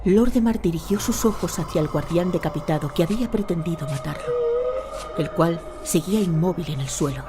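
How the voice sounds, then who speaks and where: A woman narrating a scripted audio drama in a measured, dramatic storytelling manner. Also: on the low side, noisy background.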